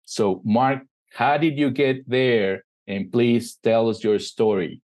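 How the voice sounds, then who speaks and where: A man's voice: mid-range voice, fairly even intonation, darkish tone. Upbeat male host reading an introduction. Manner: upbeat, reads the guest's bio slowly, brief reactions. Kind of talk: interview hosting, reading an introduction.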